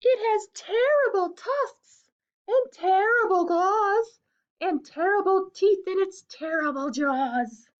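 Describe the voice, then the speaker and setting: A woman voicing a brave, clever little mouse in a storybook read-aloud, high and squeaky. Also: slow pace, muffled recording, heavily acted. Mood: excited. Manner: squeaky, excited.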